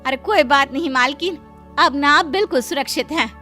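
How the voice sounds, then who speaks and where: Woman acting a high-voiced, humble poor housemaid. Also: normal speed, bright, forceful tone, soft background music. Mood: concerned. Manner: deferential, pleading. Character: humble, pleading poor housemaid, devoted wife. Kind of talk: cartoon moral story acting.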